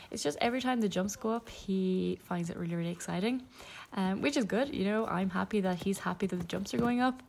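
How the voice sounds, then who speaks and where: A young woman narrates a riding video in a relaxed, chatty way, with plenty of ums and light laughs. Her voice sits at a middle pitch and has a gravelly, fry-heavy edge. A faint hiss runs under the recording. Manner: casual, chatty, many ums and small laughs. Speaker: equestrian vlogger. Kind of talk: voice-over talking through a ride.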